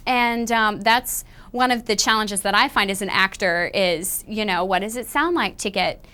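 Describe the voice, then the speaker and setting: A woman's voice: mid-range voice, moderate pace, sharp tone, smooth. A woman voice actor talking thoughtfully about her character in an interview. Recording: noisy interview recording.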